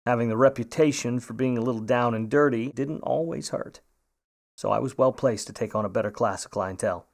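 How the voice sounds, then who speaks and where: An actor playing a sardonic, world-weary 1950s Hollywood private eye in a radio play, with hard-boiled delivery. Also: male voice, mid-range voice, brisk, gravelly, darkish tone, lightly acted. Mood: wry narration. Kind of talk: noir radio play narration and dialogue.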